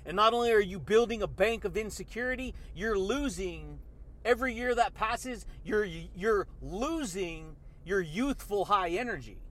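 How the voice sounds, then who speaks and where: A man delivers a fired-up motivational talk, his voice pitched high for a man. He talks in a slangy, hyped way, throwing in dude and baby as he addresses the listener. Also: animated, moderate pace, fairly bright tone. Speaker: motivational podcast host. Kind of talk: energetic motivational monologue.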